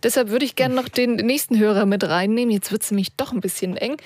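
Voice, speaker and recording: A woman hosting a radio call-in show, moderating briskly and keeping time. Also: on the high side, darkish tone, dry recording, clean studio sound. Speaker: radio host.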